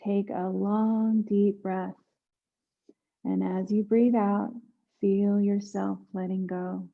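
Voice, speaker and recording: A woman guiding a yoga nidra meditation in a hushed, soothing manner, leaving long pauses between instructions. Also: mid-range voice, little pitch movement, gravelly, narrow, tinny audio. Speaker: meditation guide.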